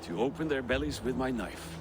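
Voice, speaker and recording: A man acting a proud, animated Viking war chief. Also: medium pitch, brisk, natural voice. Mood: challenging. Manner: bold, theatrical, blunt. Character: proud, brash Viking warband leader. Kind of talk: dramatic video game cutscene acting. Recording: game audio with music underneath.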